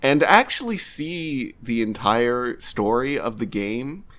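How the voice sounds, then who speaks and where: A man's voice: medium pitch, big pitch swings, measured pace, a crowd in the background. A man co-hosting a podcast, chatting casually about games in a wry, self-mocking way. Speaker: podcast co-host. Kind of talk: casual anecdotal game talk.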